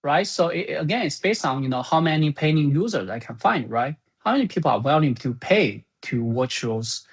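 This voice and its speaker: A software creator giving an informal spoken summary of business plans in a halting, think-aloud manner. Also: mid-range voice, lively intonation, breathy edge.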